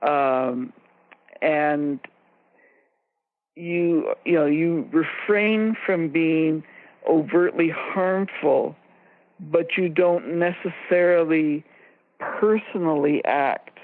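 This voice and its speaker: A woman co-hosting a radio discussion, explaining ideas in a measured way and stressing her key points. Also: deep voice, no room sound. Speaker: radio show co-host and expert. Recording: narrow phone-like line, very dry.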